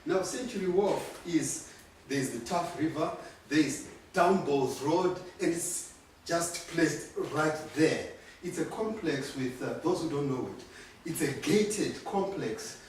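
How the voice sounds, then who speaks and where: A middle-aged man from Zimbabwe telling his life story at a community workshop, unhurried but lively when acting out moments. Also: a little high, slight background noise. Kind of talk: personal storytelling. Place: community centre room. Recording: echoey room, distant mic.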